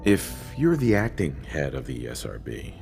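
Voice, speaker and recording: A man's voice: extremely low pitch, wildly swooping pitch, unhurried, gravelly, a little mellow, soft background music, exaggerated voice. A man playing a confident, inquisitive hero in a video game, direct and conversational. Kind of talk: scripted game dialogue.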